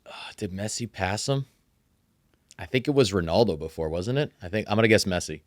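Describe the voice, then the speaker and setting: A man from Brazil co-hosting a podcast, guessing trivia answers in a relaxed, hesitant way. His voice is low. The sound is dry and close. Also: brisk, no room sound. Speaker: podcast co-host. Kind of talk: casual chat.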